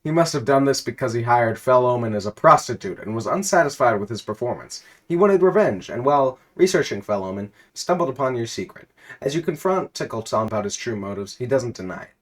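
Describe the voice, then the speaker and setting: A man's voice: mid-range voice, lively intonation, fairly quick. A man telling a comedic story in the second person with dramatic narration.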